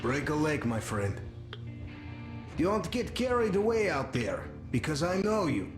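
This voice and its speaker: An actor playing a teasing, friendly comrade in a video game, talking fondly like an old friend. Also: male voice, fairly high pitch, sing-song, unhurried. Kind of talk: dramatic video game acting. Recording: echoey, music behind.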